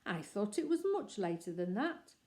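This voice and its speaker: A woman's voice: medium pitch, sing-song, moderate pace, dark tone, close to an everyday voice. A woman reading aloud voices a small, polite young male bear who speaks innocently and truthfully. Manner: innocent, truthful and matter-of-fact.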